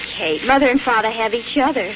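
Actress as high-pitched, headstrong young bride. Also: female voice, brisk, close to an everyday voice. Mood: excited, defiant. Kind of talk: 1940s radio drama acting. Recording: very noisy, muffled 1940s radio broadcast.

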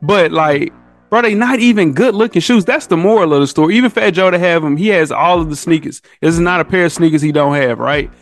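A man's voice: a little high, fairly quick, faint music behind. A man chatting casually on a podcast, opinionated and emphatic, using slang and repeating his points for stress. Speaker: podcast guest.

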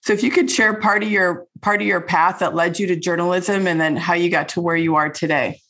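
A woman's voice: on the low side, steady tone, brisk, a bit bright. A woman hosting a business podcast, reading a polished intro and then interviewing a guest. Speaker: podcast host.

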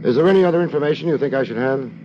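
An actor playing a laid-back, wisecracking tough private detective in a 1950s radio drama, with wry, slangy delivery. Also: male voice, mid-range voice, rapid-fire, noisy background, close to an everyday voice. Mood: probing, brisk. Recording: dull old 1950s radio recording, music behind.

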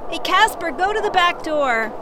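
Dry deadpan diner lady in a fiction podcast, high voice, unbothered, loud ambient noise all around. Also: moderate pace, crowd noise. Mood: brisk. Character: unflappable, dry-witted woman diner worker.

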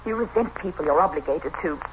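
On a dull, noisy vintage radio recording, a tired, guarded wife in a suspense drama. Her delivery is halting and dramatic, with very lively pitch. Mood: defensive, indignant. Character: weary, defensive, indignant wife, a woman with secrets.

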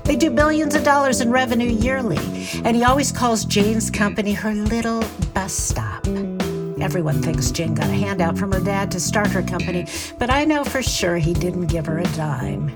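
A woman's voice: mid-range voice, expressive, normal speed, close to an everyday voice. A woman acting as an earnest, confiding bus operator narrating an audio drama in first person. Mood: confiding, loyal. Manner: confiding, matter-of-fact. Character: earnest, loyal woman bus operator telling her story. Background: prominent guitar music.

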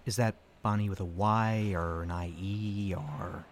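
A man's voice: low-pitched, fairly even intonation, a little slow, a little hiss or hum. A man playing a cocky, argumentative call-centre worker in an audio drama, with a self-righteous manner. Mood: cocky, needling.

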